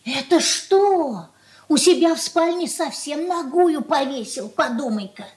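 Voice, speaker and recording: Radio drama: anxious old merchant mother, highish voice, folksy, plaintive, confiding. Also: expressive, unhurried, darkish tone. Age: older. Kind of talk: radio play acting.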